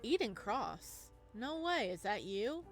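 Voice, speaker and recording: A woman's voice: a little high, big pitch swings, takes it slowly, faint music behind, full character voice. Woman reading as a confident, friendly young man. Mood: friendly surprise. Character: confident, friendly, popular man greeting an old classmate.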